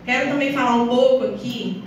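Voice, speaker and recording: A woman with a northeastern Brazilian accent giving a semi-formal, somewhat halting public speech as a town councillor. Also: a little high. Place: small council chamber, indoors. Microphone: phone or camera several metres away. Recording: distant, very echoey, noisy room recording.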